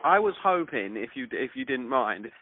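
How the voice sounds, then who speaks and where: Male, average pitch, halting and repetitive at phrase starts, talking informally over a narrow phone connection. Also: big pitch swings. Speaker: interviewer, listener. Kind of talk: casual phone conversation. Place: on a phone call. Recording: narrowband telephone call, dry.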